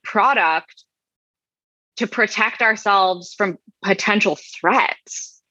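A woman's voice: medium pitch, slow pace, bright, forceful tone, slightly rough, no room sound. A woman co-hosting a podcast in casual chat, hesitant and filling her sentences with fillers and restarts. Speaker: podcast co-host. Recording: dull, dry recording.

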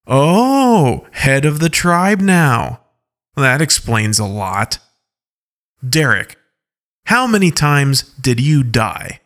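A man's voice: mid-range voice, big pitch swings, takes it slowly, a bit bright, voice pushed up. A man voicing an angry, sarcastic wolf-pack leader who mocks and scolds. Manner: mocking, indignant outbursts.

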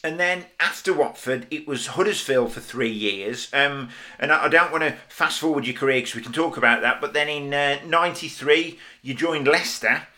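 A man's voice: a little high, pushed and bright. A man hosting a podcast interview, asking questions and eagerly agreeing with his guest. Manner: enthusiastic, backs the guest with quick yeses. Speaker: podcast host. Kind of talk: casual interview.

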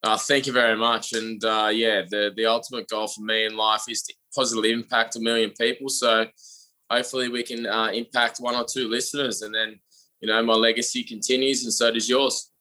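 A young Australian man, a podcast guest, telling his story in an upbeat, energetic way with frequent fillers. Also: mid-range voice, a little fast, rough recording. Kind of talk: casual interview talk.

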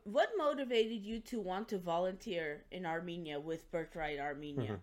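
A woman's voice: on the low side, moderate pace, darkish tone. A woman hosting an interview, reading a prepared introduction of her guest before asking questions. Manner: formal, reads prepared introduction. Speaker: host.

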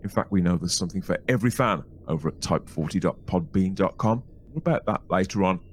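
A man's voice: low voice, fast talker, slight background noise. A man with a Birmingham accent hosting a podcast, reading a playful, chatty intro and poking fun at himself. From England. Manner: playful, chatty, self-mocking. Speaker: podcast host.

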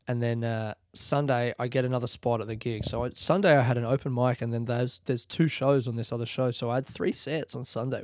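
A man's voice: medium pitch, a little mellow, no echo, clean studio sound. A man, a comedian and podcast host telling a long rambling story with loose, profane phrasing and laughter.

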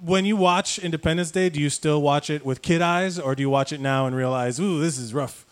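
Man, American accent, slightly high pitch, casual talk show host. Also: fairly quick. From Cuban immigrant community in the United States. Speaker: host of a live comedy show. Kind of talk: casual chat, hosting a live show.

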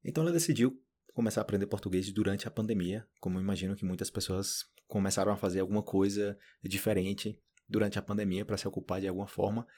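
A man from Brazil hosting a casual podcast interview, talking in an upbeat, slangy and energetic way. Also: on the low side, fast talker, few pauses, slightly rough. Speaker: podcast host.

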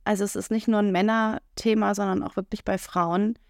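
A woman's voice: mid-range voice, little pitch movement, fairly quick, darkish tone, dry recording, studio quality. A woman speaking frankly and chattily on a casual podcast, with many fillers. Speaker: podcast co-host.

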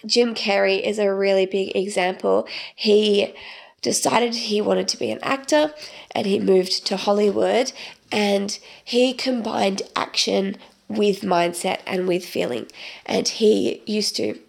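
A young woman hosting her own podcast, chatting in a rambling way with many ums, her voice croaky from the tail end of a cold. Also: mid-range voice, slow pace. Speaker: podcast host. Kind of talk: casual solo podcast monologue.

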